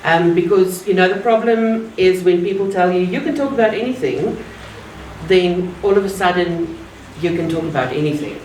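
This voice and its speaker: A woman from South Africa with an Afrikaans accent, giving an earnest, measured public talk with deliberate pauses. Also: on the low side, darkish tone. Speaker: guest speaker. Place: art gallery. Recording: roomy, distant mic, faint ambient noise.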